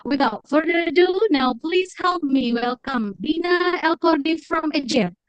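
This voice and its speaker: High-voiced woman emcee. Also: animated, a little slow, dry recording. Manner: ceremonious, addresses the audience. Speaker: master of ceremonies. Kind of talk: formal event announcing.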